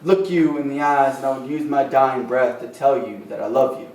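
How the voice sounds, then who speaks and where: A man performing slam poetry at an open mic, impassioned and rhythmic, with dramatic pauses and rhymes. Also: mid-range voice, very noisy. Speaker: slam poet. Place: open mic venue with a small responsive audience. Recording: echoey live room, distant microphone.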